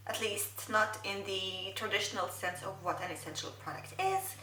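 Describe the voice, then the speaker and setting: Bright-voiced woman vlogger, Arabic native speaker. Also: mid-range voice, normal speed, roomy sound, far from the mic, a little hiss or hum, rough recording. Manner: thoughtful, wordy, many fillers. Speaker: beauty vlogger. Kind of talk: get-ready-with-me makeup chat. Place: bedroom, indoors.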